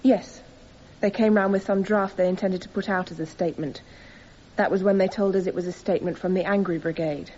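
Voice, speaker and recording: A woman's voice: medium pitch, fairly even intonation, brisk, mellow, highs cut off, a little hiss or hum, natural voice. A woman playing an earnest, defiant young defendant giving impassioned, emphatic testimony in a radio courtroom drama.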